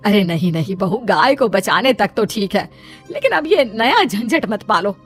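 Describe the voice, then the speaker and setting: Older motherly mother-in-law, cartoon female performer: practical, inquisitive, medium pitch. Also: big pitch swings, moderate pace, husky, soft background music. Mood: reluctant.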